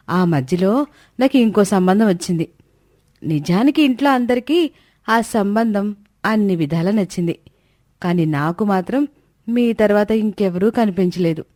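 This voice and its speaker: A woman's voice: mid-range voice, a little mellow, no echo, close to an everyday voice. A woman playing a devoted, affectionate yet firm young wife in a story, speaking expressively. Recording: dry studio voice track.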